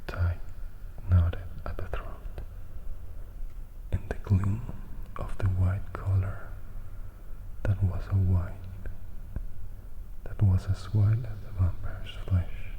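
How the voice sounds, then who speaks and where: A man whispers a scripted story in ASMR style. His low voice stays very even and moves slowly. He sets down each phrase softly and deliberately. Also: muffled recording. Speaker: ASMR narrator. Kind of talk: whispered ASMR reading of a novel.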